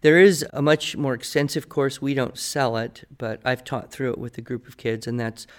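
Male, American, podcast expert. Articulate, opinionated explainer with a conversational mid-pitched delivery. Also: moderate pace. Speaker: podcast guest expert, writing teacher. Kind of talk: conversational advice, answering listener questions.

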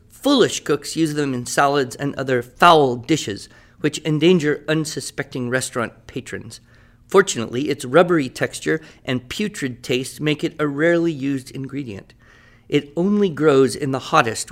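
A man playing a patient writing teacher who gives clear, encouraging instructions. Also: mid-range voice, close to an everyday voice. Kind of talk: amateur radio drama acting.

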